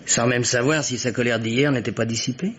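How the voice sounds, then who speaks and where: An actor playing a concerned, loyal friend in an old French radio mystery, reasoning politely with hesitant interjections. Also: male voice, medium pitch, steady tone, fairly quick, natural voice. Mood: stunned. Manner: formal address, hesitant, interjects often. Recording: dull, noisy vintage radio recording.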